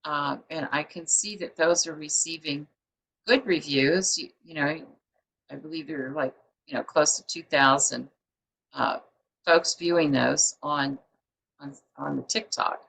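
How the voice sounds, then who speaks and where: A woman's voice: low voice, fairly even intonation, unhurried, gravelly, fairly bright tone, a little hiss or hum, rough recording. A woman publisher hosting a book show over a video call, chatty and rambling with many fillers. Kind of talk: casual interview chat.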